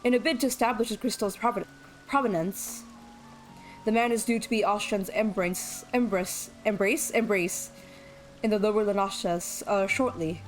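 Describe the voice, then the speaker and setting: A woman's voice: medium pitch, moderate pace, close to an everyday voice, poor audio. A woman reading a formal young male scholar's game lines aloud, stumbling and restarting over the words. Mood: earnest. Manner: formal, reads with slips and restarts. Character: earnest young male scholar planning a scheme. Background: game music.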